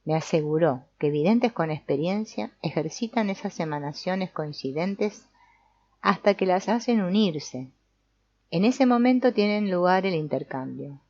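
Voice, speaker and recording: A low-voiced woman narrates an audiobook in a dull, slightly lo-fi recording. The sound is very dry, with hardly any room around it. She reads in a measured, explanatory manner, as if setting out a lesson from the page. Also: darkish tone, no room sound. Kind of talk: scripted narration, reading a book aloud.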